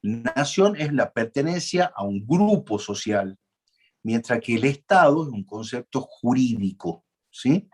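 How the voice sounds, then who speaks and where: A man's voice: medium pitch, lively intonation, a little slow, a little mellow, husky. A man from Argentina, giving a university law lecture in a conversational way, often asking the class questions, using frequent fillers and restarts.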